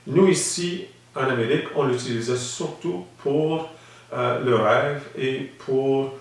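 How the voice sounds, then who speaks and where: A man talks through a demonstration, calm and explanatory, stopping often on small hesitations. His voice sits at an ordinary pitch and rings around a very echoey room, picked up from some way off the mic. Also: slow, recorded from a distance. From Saskatchewan, Canada. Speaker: instructor. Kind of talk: instructional demonstration.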